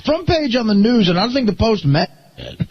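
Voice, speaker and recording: Male radio chatter, husky, highish and swooping, informal and jokey, muffled recording. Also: very animated, fairly quick, dry recording. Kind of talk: casual, joking radio chat. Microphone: radio studio microphone.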